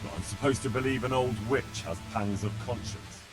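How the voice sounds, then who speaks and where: Casting: actor as skeptical young medieval game hero, mid-pitched male, dry and wry questioning, music clearly behind. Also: normal speed, lightly acted. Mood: skeptical, probing. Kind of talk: dramatic video game acting.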